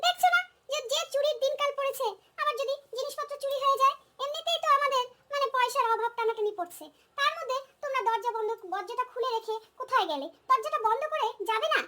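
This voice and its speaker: Woman acting as young cartoon daughter-in-law, squeaky high, bright, hot-headed, kind, chattering, pleading. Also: fast talker. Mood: nagging, alarmed. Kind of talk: comic cartoon dialogue.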